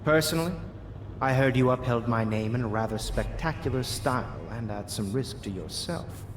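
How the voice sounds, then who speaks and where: A man's voice: medium pitch, normal speed, lightly acted. A man playing a genteel aristocrat in a video game, polite and formal. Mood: courteous gratitude. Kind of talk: video game character dialogue. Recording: echoey room, clear background noise.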